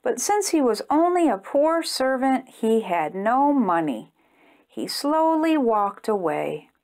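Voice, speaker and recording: A woman reading a storybook aloud to young children in an expressive storytime style. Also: a little high, slow. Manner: expressive, simple phrasing aimed at young children. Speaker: children's storytime reader and host.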